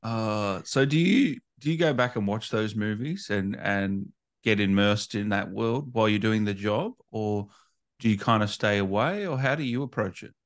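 Low-pitched man, casual interviewer. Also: moderate pace. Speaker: interviewer, gaming channel host.

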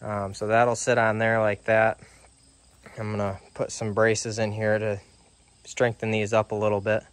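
Slow, easygoing man explaining his build. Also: on the low side, clear, resonant tone. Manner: slow, relaxed, many ums and uhs. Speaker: DIY sawmill builder. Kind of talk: casual workshop walkthrough. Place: outdoors on a driveway by a trailer.

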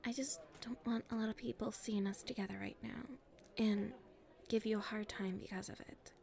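A woman playing a nervous popular schoolgirl with a secret crush in an ASMR roleplay, softly breathy and halting. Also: mid-range voice, steady tone, natural voice. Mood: shy, earnest. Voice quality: soft and breathy, often near a whisper. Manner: hesitant, halting, shyly pleading.

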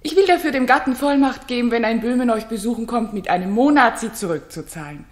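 A woman's voice: a little high, animated, fast talker, close to an everyday voice. An actress playing a gracious, witty queen in a 1960s German radio drama, with playful, courtly eloquence. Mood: persuasive. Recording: roomy 1960s radio studio.

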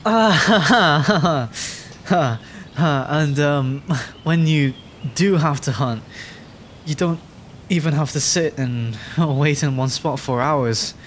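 Casting: male actor as timid young male dragon for ASMR audio drama, slow and halting, rambling apologetically, nature noise behind him. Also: on the high side, fairly bright tone. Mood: nervous, chatty. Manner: stammering, hesitant, rambling, apologetic. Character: nervous, adorkable young male dragon, injured and frightened.

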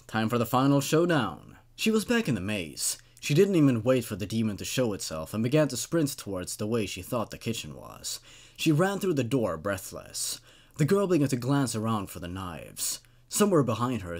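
Animated young man narrating horror. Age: 20s. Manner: dramatic, deliberate pauses, drops low for suspense. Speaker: host reading horror stories aloud. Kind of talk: dramatic horror story narration with brief casual comments.

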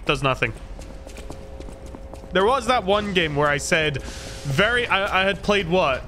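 A man's voice: sits high, expressive, a little slow. A Scottish man streaming a video game, chatting casually and self-deprecatingly to his viewers as he plays. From Scotland. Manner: rambling, self-deprecating, talks to chat while playing. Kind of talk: casual gameplay livestream chat. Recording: noticeable background noise.

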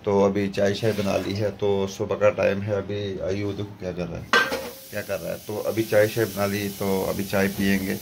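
A man in his thirties from Jammu, vlogging with a sore, hoarse throat, chatting on and on to a phone held at arm's length in his kitchen. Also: sits low, little pitch movement, moderate pace, heavy background noise. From Jammu, India. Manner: chatty, repeats himself, pleads for viewers' prayers. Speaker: family vlogger. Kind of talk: casual vlog talk to camera. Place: small home kitchen and rooms, also outdoors.

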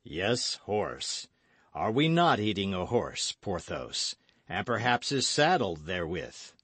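A man voicing a grave, dry-witted gentleman musketeer in a measured, understated way for a storybook reading. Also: sits low, sing-song, slightly rough, no room sound, heavily acted. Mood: deadpan teasing.

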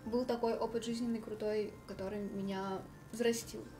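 A woman's voice: medium pitch, level tone, moderate pace, dark tone, soft background music. A young woman on a home stream, talking earnestly and giving advice about relationships. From Russia. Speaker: stream co-host. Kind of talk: casual chat. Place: living room, indoors.

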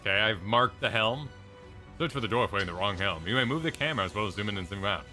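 A man in his thirties co-streaming a game from home, animated, reading game text aloud. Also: fairly low pitch, fast. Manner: animated, reads on-screen text aloud, racks his memory. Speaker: streamer co-host. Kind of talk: casual co-op game chat. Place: home room with bookshelves. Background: faint game music.

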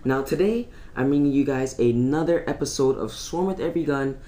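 A teenage boy giving casual, rambling commentary over his own gameplay recording at home, full of fillers and quick to complain. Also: mid-range voice, dark tone. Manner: rambling, filler-heavy, easily irritated. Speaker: gaming YouTuber. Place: home room.

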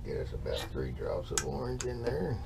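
A man's voice: mid-range voice, sing-song, normal speed, gravelly. A man, talking easygoing and folksy while demonstrating lure painting in an indoor workshop. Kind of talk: casual how-to demonstration. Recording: distant mic, noisy workshop.

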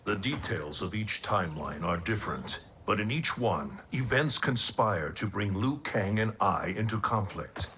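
An actor playing a solemn, commanding older thunder god in a video game, speaking with grave, formal diction. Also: male voice, on the low side, animated, a little slow, hardly any pauses, a bit bright, a little hiss or hum. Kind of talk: dramatic video game cutscene acting.

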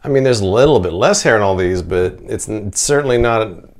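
A man's voice: on the low side, lively intonation, fairly quick, no room sound. A middle-aged American man in his forties giving a casual product review to camera. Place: covered outdoor patio and indoor laundry room. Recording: noisy background ambience.

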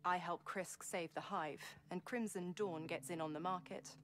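Cool, low-voiced woman crime operative, acted. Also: fairly even intonation, normal speed, soft background music, natural voice. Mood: assured, persuasive. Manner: knowing, self-assured. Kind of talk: video game voice acting.

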